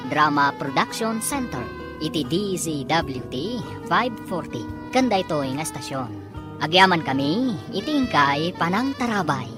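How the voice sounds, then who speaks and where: A woman's voice: low-pitched, big pitch swings, unhurried, music in the background. A woman announcing and narrating a radio drama in a scripted, dramatic style.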